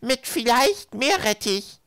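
Tiny storybook girl, played by a man: very high-pitched, terse, urgent outbursts. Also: sing-song, slow pace, a bit bright, clear, resonant tone, no room sound, heavily acted. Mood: eager. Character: small girl, blurting short cryptic urgent exclamations.

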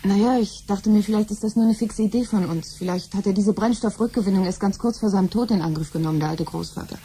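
Female actor as conspiring wife, practical, middle-aged, soft dark tone, medium pitch, vintage radio play. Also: fast, slight background noise, close to an everyday voice. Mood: conspiratorial. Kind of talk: 1970s German science-fiction radio play acting.

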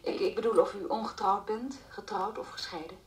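An actress playing a tactful, methodical police inspector in a radio drama, speaking politely and formally. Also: female voice, mid-range voice, fairly even intonation, fairly quick, lightly acted. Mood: careful, composed.

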